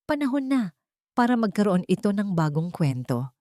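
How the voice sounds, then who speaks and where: A woman's voice: medium pitch, big pitch swings, moderate pace, mellow, dry recording, clean studio sound, voice pushed up, natural voice. A woman voicing a loving elderly mother in a story, tender and earnest, with a Filipino accent. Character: loving, gentle elderly mother giving her blessing.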